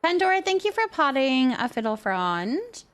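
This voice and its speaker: Higher-pitched woman chatting on a game stream. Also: sing-song, normal speed. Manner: giggly, chatty. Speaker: game livestream host. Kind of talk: casual chat with viewers while playing a cooking game.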